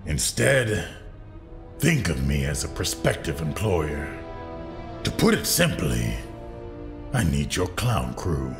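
An actor playing a menacing, sardonic district attorney turned crime boss in an audio drama, mock-polite in manner. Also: male voice, a bass voice, big pitch swings, slow, vocal fry, long pauses, over music, full character voice. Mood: smug menace.

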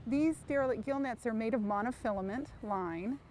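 A woman's voice: on the high side, normal speed. A woman giving an explanatory, plain-spoken documentary interview as a representative of an organization. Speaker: marine debris organization representative. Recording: clear engine and outdoor noise.

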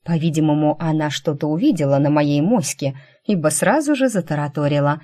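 A woman reading fiction as an audiobook narrator, in a conversational, expressive storytelling manner. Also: sits low, brisk. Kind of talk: scripted first-person fiction narration.

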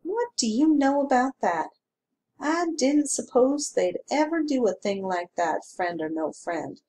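A woman reads as a grumbling small-town lawman, a middle-aged man with a folksy rural drawl who fills the silence with gab. He sounds higher and louder than the narration around him, and slow-paced. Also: animated. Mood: chatty. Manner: folksy drawling talk, sour then gabby. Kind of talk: audiobook character reading.

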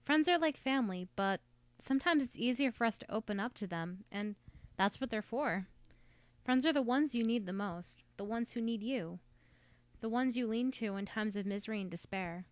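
A woman's voice: a little high, animated, moderate pace, no echo, natural voice. A woman playing an independent young woman in a reflective, self-assured drama monologue. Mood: reflective, earnest.

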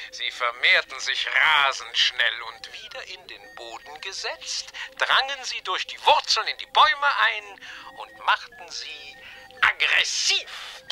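Gloating, wildly animated mad scientist villain. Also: male voice, on the high side, faint music behind, exaggerated voice, rough recording. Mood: gloating menace. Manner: theatrical, mock-polite, sneering, with drawn-out words. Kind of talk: 1980s science-fiction audio drama acting.

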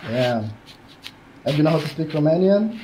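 A man's voice: a little high, very animated, moderate pace, dark tone, a little hiss or hum, low-quality recording. A young man chatting as a speed date contestant, laid-back and hesitant, with many fillers. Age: young adult. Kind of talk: casual chat. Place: indoor room. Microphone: headset on a video call.

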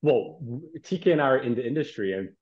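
A man's voice: medium pitch, expressive, fast, over a noisy crowd. A man in his thirties chatting casually as a real estate panelist over a video call. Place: indoors, on a remote video call. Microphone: video call, earbuds in view. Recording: dull, lo-fi video call audio.

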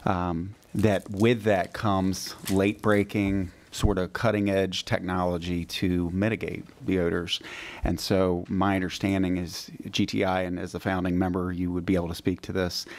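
A man on the town council speaks into a desk microphone in the chamber, his voice very deep. He asks long, winding questions and stays polite and courteous throughout. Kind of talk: public meeting questioning.

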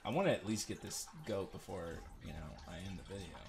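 Low-voiced, gravelly man commenting on gameplay. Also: animated, fairly quick. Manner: rambling, joking asides to viewers. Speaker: gamer recording a playthrough. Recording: noisy, uneven low-level home recording.

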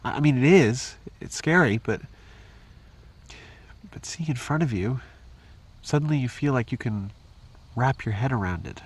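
A man playing an unsure, self-doubting young man in an audio drama, stammering and halting as he talks. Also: medium pitch, expressive, breathy edge. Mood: anxious, reflective. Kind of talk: post-apocalyptic audio drama acting.

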